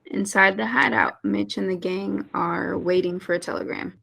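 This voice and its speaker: A woman's voice: on the low side, fairly even intonation, moderate pace, gravelly, a bit bright, breathy edge. A woman reading out stage directions as narrator at a script table read.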